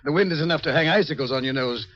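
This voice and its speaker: A man's voice: fairly high pitch, very fast, a little hiss or hum, natural voice. An actor playing a wry, cynical, take-charge man, breezy and sardonic, in 1950s radio drama style. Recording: muffled old radio recording.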